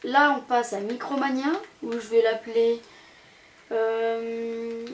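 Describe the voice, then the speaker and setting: A woman's voice: on the high side, level tone, takes it slowly. A young woman giving a casual, rambling, hesitant commentary as she tours a video game. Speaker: gaming channel host. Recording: amateur home recording with background noise.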